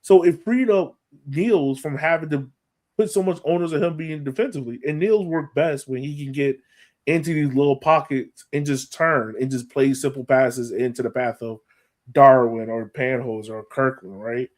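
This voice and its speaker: A man's voice: mid-range voice, moderate pace, darkish tone. An American man co-hosting a sports podcast, analyzing a match in a colloquial, emphatic way and repeating points for stress. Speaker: podcast co-host.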